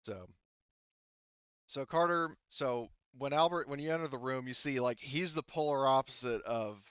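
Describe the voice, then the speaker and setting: A man narrating a tabletop roleplaying game as game master, talking haltingly as he thinks aloud. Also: mid-range voice, a bit bright, clear, resonant tone, no echo. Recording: dull, lo-fi, dry close sound.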